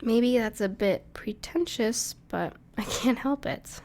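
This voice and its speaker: Soft-hearted female dragon companion played by a woman, higher-pitched with noticeable fry, tender and playful, for intimate roleplay audio. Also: takes it slowly, a little hiss or hum. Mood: earnest, wistful. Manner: tender, reassuring, playful, slightly hesitant. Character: gentle, cuddly, bashful female dragon in human form, plushie lover.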